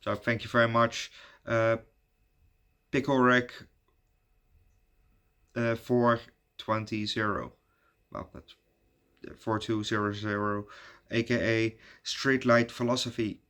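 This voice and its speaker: A Dutch man, native Dutch speaker, hosting his channel in halting casual chat full of fillers and restarts. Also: mid-range voice, little pitch movement, a bit bright, clean tone. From Netherlands. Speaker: YouTube reviewer giving shout-outs.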